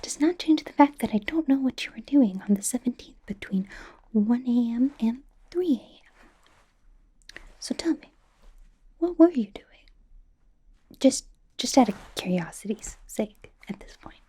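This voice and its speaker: Casting: woman to play chatty young superheroine interrogator for ASMR roleplay, high but soft and mellow, mostly whispered, playfully suspicious and rambling. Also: expressive, unhurried, pauses often, husky. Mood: curious, probing.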